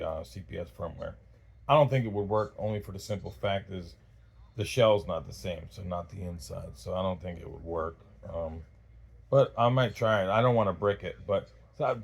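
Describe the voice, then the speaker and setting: A man with a lowish voice hosts an informal, unscripted gear review. He talks in a halting, rambling way and often doubles back mid-thought, as in casual chat with his viewers. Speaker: host of a radio gear review channel.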